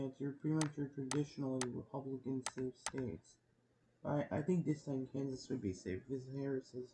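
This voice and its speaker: A young man giving casual election prediction commentary as a video host, in a subdued, hushed voice with a halting delivery. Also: medium pitch. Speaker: YouTube host. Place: at home. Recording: noticeable background noise, home recording.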